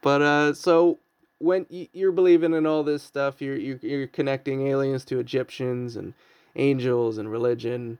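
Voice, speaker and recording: A man's voice: medium pitch, fairly even intonation, moderate pace, darkish tone, smooth, no echo. A man in his early thirties, a comedian, chatting casually and rambling with self-mocking humor and frequent laughs. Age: 30s. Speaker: comedian podcast host. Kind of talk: casual chat.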